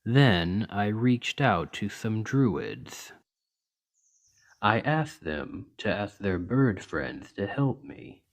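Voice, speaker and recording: A man voicing a large, gentle orc healer in an ASMR roleplay, speaking in an earnest, measured and reassuring way. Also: on the low side, sing-song, heavily acted. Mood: kindly, calm. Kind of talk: ASMR roleplay, soft storytelling to an injured listener.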